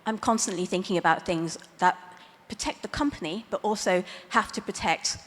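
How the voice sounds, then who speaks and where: A middle-aged woman lawyer speaks from a conference stage in a chatty, self-deprecating way, dropping small laughs and fillers. Her voice sits at an ordinary middle pitch. The sound carries the long reverb of a large hall. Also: moderate pace, fairly bright tone. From Dutch, Hong Kong, Chinese background; lives in the UK. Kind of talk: conference talk.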